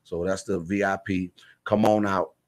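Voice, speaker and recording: Deep-voiced American man, slangy and plain-spoken. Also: level tone, normal speed. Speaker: stage playwright and director, radio guest. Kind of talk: casual radio interview.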